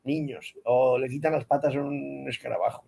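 A man talks through absurd ideas with a dry, deadpan logic. His voice is gravelly and a bit husky, sitting at an ordinary pitch for a man. He sounds like a comedian chatting on a radio show. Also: moderate pace. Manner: rambling deadpan absurd reasoning. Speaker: comedian guest. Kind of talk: casual comedic chat.

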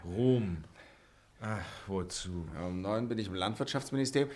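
An actor playing a plain-spoken middle-aged farmer in a German radio play, bantering with dry humour. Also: male voice, fairly low pitch, moderate pace, darkish tone, natural voice.

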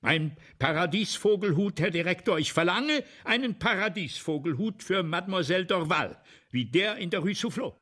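Casting: middle-aged playwright, vain and obsessive, husky voice, theatrical radio drama delivery with big pitch swings. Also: male voice, lively intonation, fairly bright tone. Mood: excited, insistent. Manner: repetitive, halting, theatrical. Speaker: radio play actor. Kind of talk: 1970s German radio play acting.

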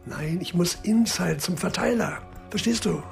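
An actor playing an ageing, dogged police detective in a radio crime drama, sometimes hesitant, always insistent. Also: male voice, sits high, a little slow, gravelly. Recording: roomy sound with music behind.

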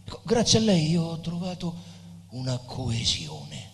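An actor playing a weary, reverent dying poet in a theatrical reading, declamatory yet hesitant, with phrases that fade toward whispers. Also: male voice, fairly high pitch, huge pitch swings, measured pace, slight background noise, full character voice. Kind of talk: theatrical monologue with narration, live literary stage reading. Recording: reverberant hall with music.

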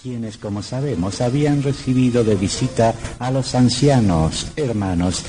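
An actor narrating an old radio horror serial in a grave, deliberate, dramatic manner. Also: male voice, medium pitch, mellow, soft background music, lightly acted. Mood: grave, suspenseful.

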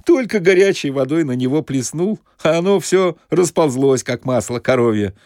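A man's voice: on the high side, animated, normal speed, darkish tone, dry recording, clean studio sound, voice pushed up. A man voicing a disgruntled, grumbling bathhouse customer with a rustic, griping manner, in an audiobook. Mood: exasperated.

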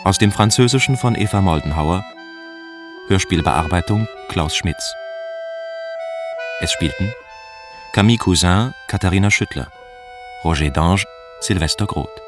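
A man's voice: sits low, unhurried, long pauses. A man reading radio play credits in a formal, scripted announcer style. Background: music with accordion or harmonica.

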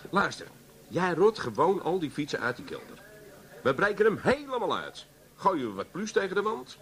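Brash, womanizing Amsterdam schemer: slightly high voice, very animated, cocky and coarse, radio play. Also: moderate pace. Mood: sly, cocky. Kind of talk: comic radio play acting.

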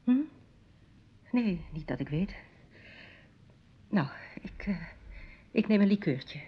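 Radio play wife: extremely breathy, husky voice, hesitant and easily flustered, muffled lo-fi sound. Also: female voice, mid-range voice, animated, takes it slowly, full character voice. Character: flustered, wavering married woman, drawn to her seducer. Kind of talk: Dutch radio drama acting. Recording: dull lo-fi radio drama recording.

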